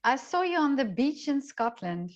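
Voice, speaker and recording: A woman's voice: high voice, big pitch swings, moderate pace. A woman hosting a casual conversational interview, recorded with somewhat dull lo-fi sound. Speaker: interviewer, host.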